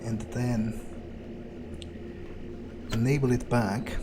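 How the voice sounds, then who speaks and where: Male game player in character as a grumbling vampire, mid-pitched, very unhurried hesitant muttering, in-character thinking aloud. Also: steady tone, background noise. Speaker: let's player.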